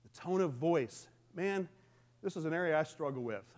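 Booming, lively preaching man. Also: moderate pace. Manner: lively, conversational preaching with call-and-response. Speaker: pastor. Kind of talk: sermon.

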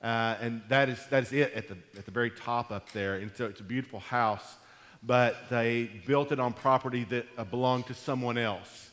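A man preaching to his church in a folksy, rambling way, his voice gone deep and congested from allergies. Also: steady tone, normal speed, fairly bright tone, long reverb tail. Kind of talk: sermon. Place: church sanctuary.

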